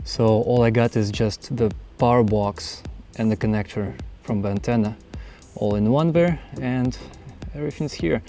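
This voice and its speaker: Male how-to host, soft-edged dark tone, medium pitch, casual and rambling delivery, light music underneath. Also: normal speed. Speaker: host of a truck build how-to video. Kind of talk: casual unscripted hands-on walkthrough.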